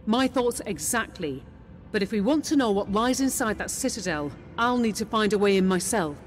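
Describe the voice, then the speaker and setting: Woman acting a measured, loyal military officer. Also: medium pitch, lively intonation, close to an everyday voice. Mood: businesslike. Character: steady, loyal female officer briefing her mech commander. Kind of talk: video game voice acting. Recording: music behind the voice.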